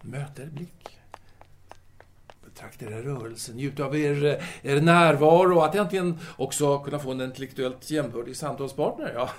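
A man's voice: on the high side, close to an everyday voice. An actor playing a self-absorbed, womanizing intellectual soldier on leave in an audio drama, wordy and hesitant in a pompous way. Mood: flattering.

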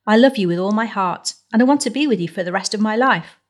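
A woman's voice: medium pitch, fast talker, lightly acted. A woman voicing a hopeful, impulsive young woman in love, earnest and nervous, in a novel.

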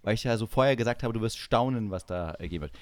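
A man from eastern Germany chatting casually and comically as a podcast host, animated and given to mock-indignant banter. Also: on the low side, fast. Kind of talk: casual comedic chat.

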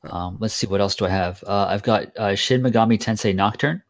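A man's voice: lowish voice, a little fast, dry recording, studio quality. A man co-hosting a gaming podcast, chatting casually and hesitantly with frequent fillers. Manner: relaxed, hesitant, lots of ums. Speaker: podcast co-host.

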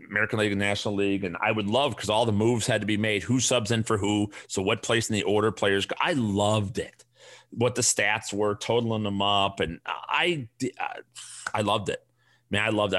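A man's voice: lowish voice, fairly bright tone. A middle-aged man with a Chicago accent, hosting a casual solo podcast and ranting in a blunt, profane, rambling way. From Chicago area. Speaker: podcast host.

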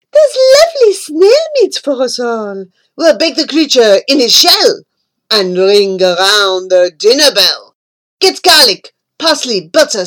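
A rowdy mob of greedy townsfolk, put on by a woman reading a storybook, bellowing with hungry glee. The voice jumps wildly between high yells and lower growls. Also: exaggerated voice. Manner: loud, shouting, gleeful.

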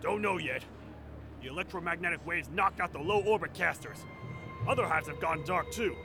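A man playing a gruff, curt military officer giving orders with authority in a sci-fi war audio drama. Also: a little high, moderate pace, music in the background, close to an everyday voice. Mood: stern.

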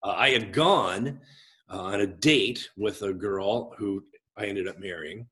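A man in his forties with a big voice, telling his own story as a pastor and guest in an expressive, gregarious, halting conversational way. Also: lowish voice. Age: 40s. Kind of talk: reflective personal storytelling in conversation.

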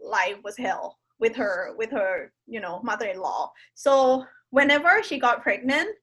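Podcast guest: woman from Thailand, fairly high voice, casual and hesitant with lots of fillers. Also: animated, measured pace, sharp tone, husky. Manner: hesitant, many fillers and restarts. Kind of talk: casual conversation.

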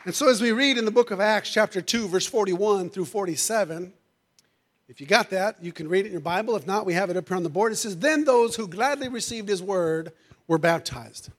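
High-voiced preacher man, going hoarse. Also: a little fast, fairly bright tone. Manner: fervent, peppers every phrase with "amen" and "hallelujah"; calls out to the congregation. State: losing his voice. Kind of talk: sermon. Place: church sanctuary.

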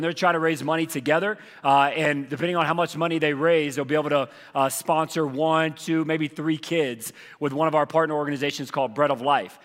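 A man preaching as lead pastor, hoarse from losing his voice, talking casually with many fillers. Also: a little high, a bit bright, big-room sound. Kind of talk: sermon with announcements. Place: church auditorium.

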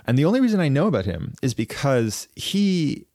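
A man on a podcast explaining a piece of history at length in a casual, thoughtful way, often pausing and restarting his sentences. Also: medium pitch, sing-song, warm tone, no room sound, studio quality. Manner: thoughtful, halting, many fillers and restarts. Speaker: podcast co-host.

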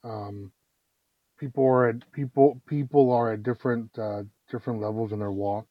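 A man's voice: medium pitch, steady tone, normal speed, no echo. A man in a casual discussion, earnest, repeating words as he works toward his point. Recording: dull lo-fi audio.

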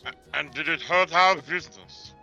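A man playing a weary, slow-witted giant wrestler in a comedic audio play, halting and stammering. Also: on the high side, sharp tone. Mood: eager. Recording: muffled, music underneath.

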